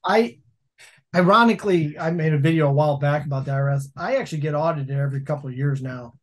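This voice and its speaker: A man's voice: fairly high pitch, fast talker. A man in his forties, a laundromat owner telling stories in a casual interview over a video call from a home office. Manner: storytelling, animated hand gestures.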